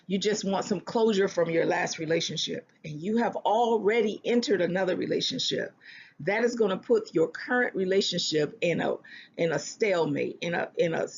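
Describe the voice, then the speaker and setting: A speaker giving earnest relationship advice in a self-help talk, often restarting phrases and slowing down to stress a point. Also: medium pitch, creaky, slightly rough, lo-fi sound. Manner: earnest, repeats and restarts phrases, slows for emphasis.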